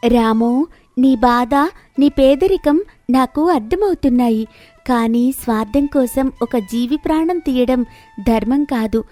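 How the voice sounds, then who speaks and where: Cartoon fable role: woman as enchanted golden fish princess, high voice, tearful and wise, earnest pleas over faint music. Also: measured pace, mellow. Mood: earnest, solemn. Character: pleading golden fish, cursed mermaid princess, gentle and wise. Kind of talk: animated moral story dialogue.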